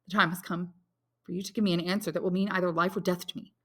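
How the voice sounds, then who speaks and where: A woman's voice: on the low side, flat delivery, fast talker, fairly bright tone. A woman voicing an intense, honorable, lovelorn young man in a dramatic audiobook, speaking earnestly and solemnly. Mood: urgent. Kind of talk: dramatic audiobook character reading.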